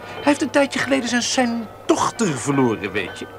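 An actor playing a flippant, theatrical young student in a radio play, teasing with mock gravity. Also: male voice, very high voice, wildly swooping pitch, normal speed, vocal fry, over music, heavily acted. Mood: mock-grave. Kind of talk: 1970s radio play acting. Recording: noisy 1970s radio drama recording.

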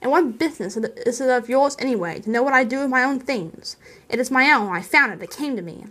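A woman's voice: on the high side, animated, a little fast. A woman voicing an elderly male hobbit, stubborn, touchy and possessive, in an emphatic, testy storybook reading. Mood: suspicious, annoyed. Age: older.

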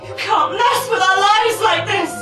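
Actress as earnest young woman in a play, really high voice, questioning, far from the mic. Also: unhurried. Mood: desperate, pleading. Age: young adult. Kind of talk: stage play acting. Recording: echoey theatre stage, distant camera, music.

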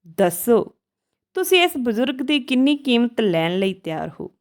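Kind, repentant middle-aged man in an audio story, read by a woman, higher pitch, earnest, halting, respectful. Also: big pitch swings. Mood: polite, calm.

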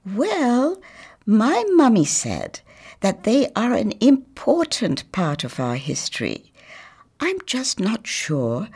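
Woman doing an earnest, animated little girl. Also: mid-range voice, slow pace, slightly rough, voice pushed up, full character voice. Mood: earnest, puzzled. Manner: earnest, childlike. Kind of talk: children's storybook read-aloud.

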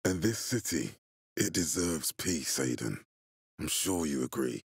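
An actor playing a stern, middle-aged military leader in a post-apocalyptic video game, speaking with formal, deliberate authority in a husky, gravelly voice. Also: male voice, a bass voice, expressive, dry recording, clean studio sound, exaggerated voice. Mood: grave, resolute. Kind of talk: video game character dialogue.

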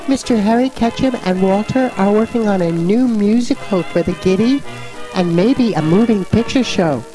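Woman acting a mellow, genteel puppet vaudeville lady. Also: mid-range voice, normal speed, soft background music, natural voice, rough recording. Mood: delighted. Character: cheerful vaudeville puppet lady, Mama, fondly reminiscing. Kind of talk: staged backstage interview in puppet show.

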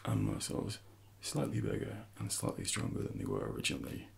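A man in his thirties speaking softly and breathily in an ASMR roleplay as a personal trainer, often slipping into whispers while instructing. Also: extremely low pitch, very animated, a little fast, creaky. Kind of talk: soft-spoken ASMR roleplay.

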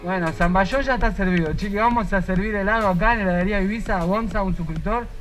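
A young Argentine man with a Rioplatense accent and a high-pitched voice, talking in a chatty, joking way for his vlog. He is picked up on a helmet-mounted action camera, with a clear music bed running under him. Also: quick pace, a bit bright. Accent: Rioplatense Spanish. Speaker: motovlogger host. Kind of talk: casual vlog chat. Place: street and small ice cream shop.

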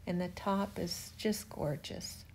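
An older woman talks through her furniture project in an unhurried, chatty voice-over. Her voice sits low and easy, and she lingers on her words with gentle enthusiasm. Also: a little hiss or hum. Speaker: furniture refinisher. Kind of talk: voice-over narration of a restoration.

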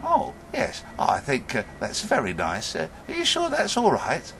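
A man's voice: a little high, huge pitch swings, unhurried, creaky, a bit bright, a little hiss or hum, voice pushed up, exaggerated voice. A man voicing a poor, kindly old man from a folktale, hesitant and fussing, repeating his words. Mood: grateful. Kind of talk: live oral storytelling.

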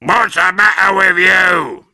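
A man voicing a nasty, scheming old husband in a storybook reading, slow and sneering. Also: high voice, sharp tone, dry recording, studio quality, voice pushed up, heavily acted.

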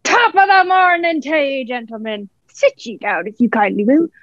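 A young woman playing a genial, flattering old one-legged sea cook in a radio play, with folksy, deferential sailor talk. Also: sits high, moderate pace, no room sound, highs cut off. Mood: cheery, flattering.

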